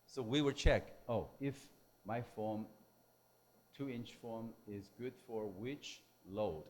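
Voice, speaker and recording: A man who is a non-native English speaker giving a technical lecture in halting, simple phrases. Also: on the low side. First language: not English. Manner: halting, hesitant delivery with simple broken phrasing. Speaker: technical presenter at a symposium.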